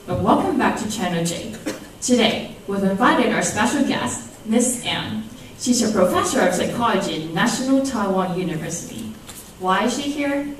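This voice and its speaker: A radio talk show presenter, played by a woman, with a mid-pitched voice and scripted delivery. She sounds far from the mic in a very echoey hall. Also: slight background noise, lightly acted. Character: polished radio talk show host introducing guests. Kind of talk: scripted radio host acting.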